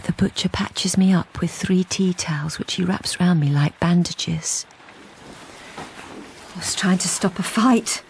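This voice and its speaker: A woman's voice: on the low side, a little slow, gravelly, a little mellow, a little hiss or hum, rough recording. A woman playing a timid, anxious wife in a radio drama, speaking hesitantly with stammering restarts, both in dialogue and intimate narration. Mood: quiet, confiding. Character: anxious, timid wife who has turned invisible.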